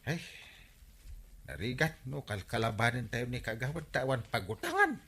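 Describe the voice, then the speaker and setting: Wildly animated, excitable village man. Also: medium pitch, moderate pace, heavily acted. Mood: sheepish, amused. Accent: Filipino. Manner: exaggerated exclamations, swooping comic delivery. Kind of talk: broadcast radio comedy drama acting.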